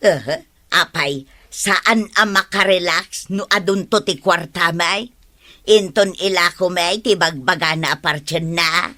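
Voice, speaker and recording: A woman's voice: fairly low pitch, unhurried, sharp tone. A woman with an Ilocano accent playing a stingy, argumentative village wife who complains in a comedy radio drama. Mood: dismissive. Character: stingy, grumbling village wife, hard to persuade.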